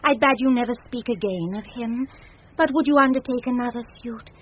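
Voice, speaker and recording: A woman's voice: a little high, fairly quick, natural voice. A British actress playing a proud, lovesick noblewoman, stately and yearning, in a classic radio Shakespeare play. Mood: haughty, insistent. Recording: dull, noisy vintage radio recording.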